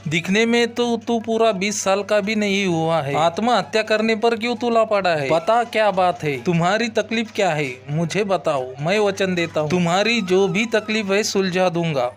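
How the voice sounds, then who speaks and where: A man's voice: sits high, moderate pace, hardly any pauses, natural voice. A man playing a trusting, fretful middle-aged village father in a cartoon story, exclaiming and thinking aloud. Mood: alarmed. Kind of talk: Hindi cartoon moral-story acting. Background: background music.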